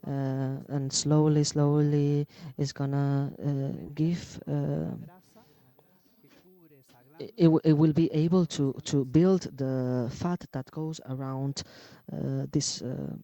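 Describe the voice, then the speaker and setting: Halting man giving a medical lecture. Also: fairly high pitch, steady tone, mellow, no room sound, dull audio. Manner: halting, many fillers and restarts. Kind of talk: conference lecture.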